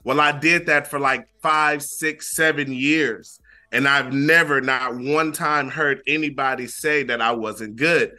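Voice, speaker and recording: A man's voice: medium pitch, steady tone, a little slow, pushed and bright. A young man from Flint, Michigan, a rapper talking casually as a guest in an interview, laid-back and full of fillers. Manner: laid-back, repeats phrases, many fillers.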